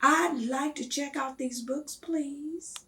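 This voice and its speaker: Woman reading as a gentle, determined little boy, high-pitched. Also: slow pace, far from the mic. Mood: polite, firm. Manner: gentle, earnest, insistent. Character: polite, determined young boy standing his ground.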